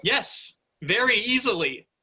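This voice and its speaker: A man's voice: sits high, lively intonation, measured pace, sharp tone, breathy edge, heavily acted. A man playing a restless, sarcastic space freighter worker, informal and exasperated, in a staged reading. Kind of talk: virtual staged reading of a sci-fi play.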